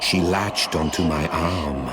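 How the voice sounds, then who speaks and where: An actor narrating a dark fantasy game story in a measured, somber way, over loud game music. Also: male voice, very deep voice. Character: grim adult male narrator of dark fantasy.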